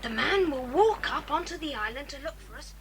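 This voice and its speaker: Plucky, commanding British schoolboy, very high and lively, children's adventure drama. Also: female voice, a little fast. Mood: planning, confident. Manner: decisive, crisp commanding delivery. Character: bold, resourceful young boy adventurer, taking charge. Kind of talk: acted children's audiobook dramatisation. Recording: noisy old cassette recording.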